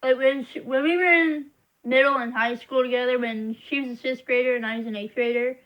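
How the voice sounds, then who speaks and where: A woman's voice: high-pitched, steady tone, a little fast, fairly bright tone, rough recording. A young woman vlogging to camera, rambling as she complains in frustration. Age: young adult. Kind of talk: casual venting monologue.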